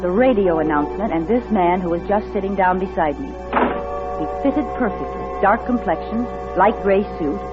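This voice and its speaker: A woman's voice: mid-range voice, expressive, moderate pace, close to an everyday voice. An actress playing an anxious, wary woman motorist on the run, narrating in a dramatic 1940s radio suspense style. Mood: uneasy narration. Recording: dull vintage radio recording with music behind.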